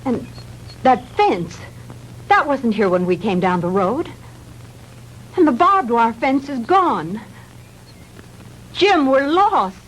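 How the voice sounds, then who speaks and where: An actress playing a frightened, bewildered, high-strung young woman in a 1960s low-budget film. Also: very animated, slow, pauses often, full character voice. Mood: uneasy, frightened. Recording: noisy old 1960s film soundtrack.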